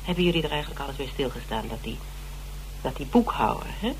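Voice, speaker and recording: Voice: medium pitch, lively intonation, quick pace, natural voice. An actor in a Dutch radio drama playing a determined, persuasive investigator who repeats words insistently. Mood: pondering. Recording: noisy old radio drama recording with hum.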